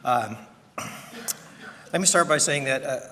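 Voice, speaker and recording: A soft-spoken man giving a prepared introduction at a podium microphone, now and then clearing his throat. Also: medium pitch, a little slow, in a large hall, background noise. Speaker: event speaker introducing a presenter. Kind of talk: prepared speech. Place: large conference hall.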